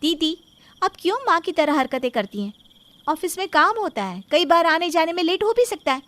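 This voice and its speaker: A woman's voice: very high voice, very animated, moderate pace, fairly bright tone, exaggerated voice. A woman playing an irritated young working sister-in-law who talks in a protesting manner in a story. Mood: annoyed.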